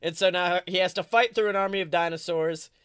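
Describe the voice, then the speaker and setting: High-voiced man improvising comic stories. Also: fairly even intonation, brisk, a bit bright, smooth, dry recording, studio quality. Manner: rambling, self-correcting, playfully dramatic. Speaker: podcast co-host. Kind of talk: improvised comic storytelling, casual chat.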